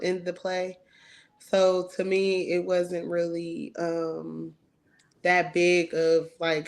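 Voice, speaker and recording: A woman from Ohio, a co-director and cast member, answering questions in a thoughtful, hesitant way. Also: on the low side. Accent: American English, Ohio. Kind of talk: casual interview talk.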